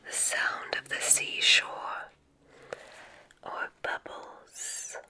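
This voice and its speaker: A woman performs an ASMR roleplay entirely in a soft whisper. She plays a kindly, formal witch examiner at a magic school. Her delivery is very slow and soothing, with gentle instructions and unhurried pauses.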